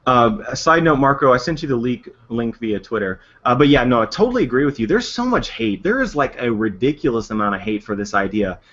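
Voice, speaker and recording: A man from the United States leads a casual group call, talking in animated bursts that restart and rush ahead. His voice sits in a middle register. The stream audio is dull and lo-fi. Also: mid-range voice, brisk, few pauses. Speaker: stream host. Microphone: online call.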